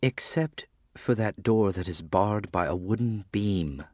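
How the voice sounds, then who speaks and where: A man playing a wealthy, commanding lord in a storybook audiobook, speaking formally and firmly in a husky voice. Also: lowish voice, a little slow, a little mellow, no echo, studio quality, natural voice. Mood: firm warning. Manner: firm, formal, authoritative. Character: wealthy, commanding blue-bearded lord, stern husband. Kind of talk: storybook audiobook character acting.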